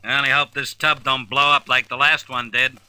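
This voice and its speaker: An actor playing a folksy, plain-spoken spaceship crewman in an old-fashioned radio adventure, full of slang and excitable. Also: medium pitch, brisk, clear, resonant tone, no echo, low-quality recording. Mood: uneasy, questioning.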